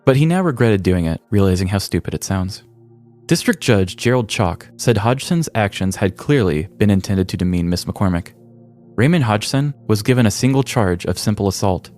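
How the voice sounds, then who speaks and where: A man's voice: lowish voice, expressive, moderate pace, darkish tone, soft background music. A male podcast host narrating a scripted, comedic true-crime story.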